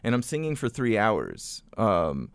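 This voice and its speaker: A young man talks loosely as a podcast guest, circling back through fillers and restarts. His voice sits fairly low and sounds smooth and clean. The recording is close and dry. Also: unhurried, no echo. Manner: relaxed storyteller, many fillers and restarts. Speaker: podcast guest, musician and vocal coach. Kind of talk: casual podcast interview.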